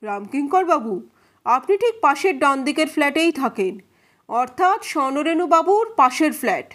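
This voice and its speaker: A woman's voice: high-pitched, very animated, moderate pace, a bit bright, voice pushed up. A woman voicing a probing male defence advocate in an audio story, questioning witnesses in a formal, insistent, pointed way. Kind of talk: dramatized courtroom questioning.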